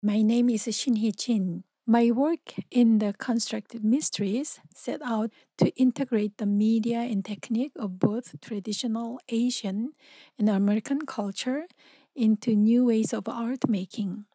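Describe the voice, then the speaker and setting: A woman with a Korean accent reading a prepared statement about her artwork in a careful, measured way. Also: on the high side, fairly even intonation, warm tone, slightly rough, dry recording. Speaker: exhibiting artist.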